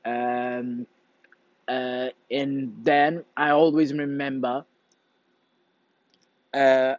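A man's voice: medium pitch, darkish tone, no room sound. A young man from Portugal with a Portuguese accent, talking casually to camera in English, his second language, often stopping to search for words. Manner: halting, searching for words, many restarts. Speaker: vlogger. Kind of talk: casual rambling monologue. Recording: dull lo-fi dry sound.

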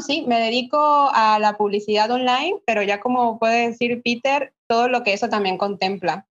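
A woman with a Venezuelan accent speaking casually as a guest in an interview, often repeating words as she explains. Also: mid-range voice. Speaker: podcast guest, online marketer. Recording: dull, lo-fi online call audio.

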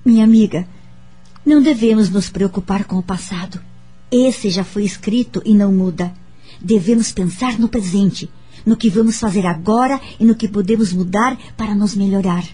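Actress as kind Brazilian woman, dark husky voice. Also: mid-range voice, normal speed, close to an everyday voice. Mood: earnest, consoling. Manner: earnest, gently instructive. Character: kind, wise woman comforting and advising her friend. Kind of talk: radio drama acting. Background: background music.

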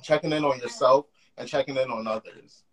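Young male podcast host, husky tone, eager and loose delivery. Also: medium pitch, fairly quick, a bit bright. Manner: enthusiastic, talks in loose run-on phrases. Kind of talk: casual conversation. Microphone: call.

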